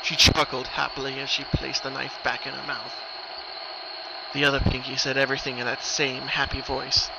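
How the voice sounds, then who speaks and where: A man with a mid-pitched voice narrates a horror story in a dramatic reading. His delivery is scripted narration. Clear noise runs behind his voice. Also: monotone, moderate pace. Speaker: narrator of horror fan fiction. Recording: noisy recording, rumbling ambient background.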